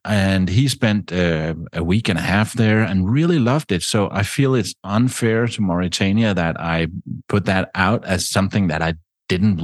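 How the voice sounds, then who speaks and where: A man talking casually about travel, halting and often repeating his words. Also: low voice, no echo, clean studio sound. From Denmark.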